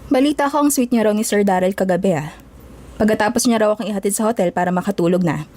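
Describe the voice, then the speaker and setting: A woman's voice: medium pitch, quick pace, mellow, natural voice. A Filipino woman voicing a lovestruck, jealous, clingy young office worker in an audio drama. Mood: sulky, jealous.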